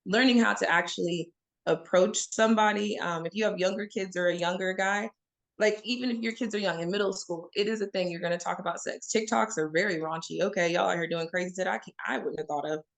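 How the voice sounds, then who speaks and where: A woman's voice: mid-range voice, fairly even intonation, quick pace, gravelly. A woman from Oklahoma giving candid, emphatic answers as an interview guest, speaking straight to the audience. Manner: frank and emphatic, addresses listeners as y'all.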